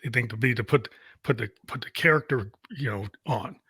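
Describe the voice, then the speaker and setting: A man hosting a podcast, chatting casually in a halting way as he searches for his words. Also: medium pitch, pushed and bright, slightly rough, no room sound, studio quality. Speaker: podcast host. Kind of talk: casual interview chat.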